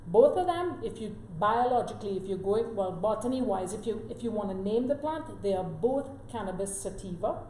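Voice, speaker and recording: A woman's voice: medium pitch, expressive, brisk, hardly any pauses, warm tone. A woman from Trinidad, a guest expert on a talk show, explaining earnestly and step by step, often checking in with "right?". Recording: echoey room with faint noise.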